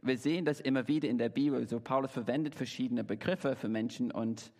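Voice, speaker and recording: A man's voice: medium pitch, brisk, darkish tone. A man from England, a native English speaker, preaching a sermon in German with frequent fillers and restarts. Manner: preaching in German as a learner, with self-corrections and fillers. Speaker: pastor. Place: church hall. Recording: reverberant church hall.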